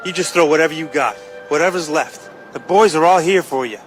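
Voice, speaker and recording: Encouraging male ballplayer played by an actor, high voice, sincere film-drama delivery over prominent music. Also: brisk, lightly acted. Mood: earnest, rallying. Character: earnest, encouraging baseball teammate, adult man. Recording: loud music under dialogue.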